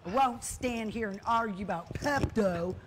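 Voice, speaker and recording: A woman's voice: medium pitch, normal speed, noisy background, natural voice. A woman playing a stubborn, huffy older spinster sister in a comedic stage play, speaking with emphatic repetition. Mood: defiant.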